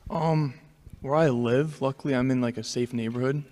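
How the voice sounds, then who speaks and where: A man's voice: medium pitch, fairly even intonation, moderate pace, a little mellow. A young man from New York, a student, talking casually into a handheld mic in a lecture hall and rambling with many fillers. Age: young adult. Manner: rambling, heavy filler use. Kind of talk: casual class discussion.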